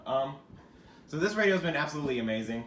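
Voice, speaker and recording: A man's voice: a little high, expressive, fast. Rambling man swearing in an echoey room. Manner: rambling, self-deprecating, swears freely, bursts into shouting. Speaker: video host showing his radio collection. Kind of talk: casual show-and-tell vlog. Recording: echoey room with background noise.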